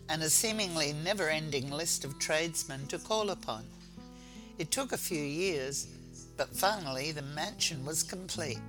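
Storyteller reading over prominent music. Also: mid-range voice. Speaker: author reading own short story. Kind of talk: storybook narration.

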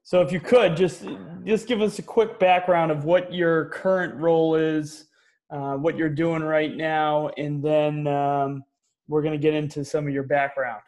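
A man speaks as a podcast host. His voice sits a little high for a man and stays fairly flat in pitch as he leads the interview. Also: measured pace. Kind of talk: interview with scripted introduction.

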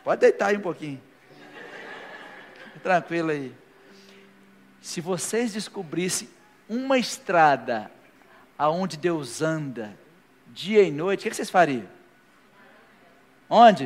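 A man's voice: a little high, sing-song, takes it slowly, long pauses. Preaching man in a reverberant church. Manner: preaching, rising to fervent shouted declarations. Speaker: pastor. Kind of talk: sermon and closing prayer. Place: church hall, live before a congregation. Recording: long hall reverb with faint music.

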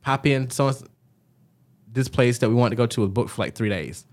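A man's voice: mid-range voice, normal speed. Southern guy from georgia rambling on a podcast, lots of likes and ums. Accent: Southern American. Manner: chatty storyteller, frequent likes and ums. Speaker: podcast co-host. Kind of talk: casual chat.

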